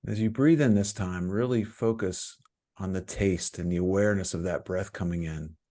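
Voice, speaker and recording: A man leading a guided meditation as a teacher, giving calm, soothing instructions with frequent pauses. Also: low voice.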